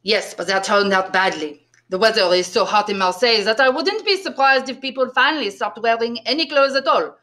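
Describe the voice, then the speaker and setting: A woman's voice: fairly high pitch, brisk, few pauses, bright, forceful tone. A woman voicing a self-pitying, bitter male innkeeper who is eager to please, plaintive and emphatic, in an audiobook. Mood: eager, chatty.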